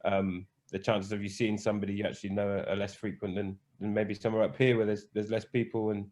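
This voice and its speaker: Low-voiced man musing hesitantly. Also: level tone, fast talker, darkish tone. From born in England, raised in Spain, Scottish parents. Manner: hesitant, thinks aloud with fillers and restarts. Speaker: podcast guest, cruise hospitality manager. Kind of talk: casual interview chat.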